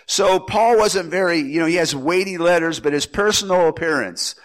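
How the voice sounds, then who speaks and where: A man's voice: a little high, animated, normal speed, rough recording. Man with a cold preaching casually. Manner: rambling, folksy, with restarts and asides. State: has a head cold. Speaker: pastor. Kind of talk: casual verse-by-verse sermon.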